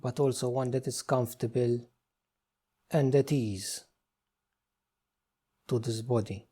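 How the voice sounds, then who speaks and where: A man guides a meditation in a dark, relaxed voice of medium pitch. He gives gentle instructions in short phrases and leaves unhurried pauses between them. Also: slightly rough.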